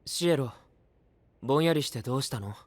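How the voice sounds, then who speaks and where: An actor playing a quiet, caring young knight in an anime-style voice drama, speaking softly and breathily. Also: male voice, mid-range voice, lively intonation, a little fast, no echo, natural voice. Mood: concerned, gentle. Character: young male knight, quiet, caring, worried loyal friend.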